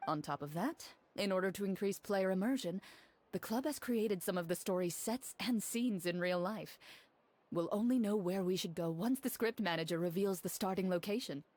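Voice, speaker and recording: A woman voicing a composed female duelist, speaking calmly and formally in video game dialogue. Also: medium pitch, dry recording, close to an everyday voice. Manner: calm, measured, formal.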